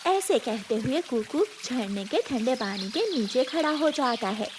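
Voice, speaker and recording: A woman narrates a scripted children's story. Her voice is high and animated, with faint noise in the background. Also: a little slow.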